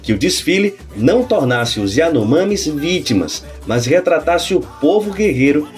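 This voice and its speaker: Casting male news presenter, fairly high voice, very animated read, faint guitar behind. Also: moderate pace. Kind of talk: scripted news narration.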